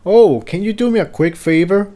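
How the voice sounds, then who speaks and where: A man voicing an earnest, excitable male pony adventurer in a storybook reading. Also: high-pitched, normal speed, pitched above the performer's own voice, natural voice. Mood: eager, hopeful.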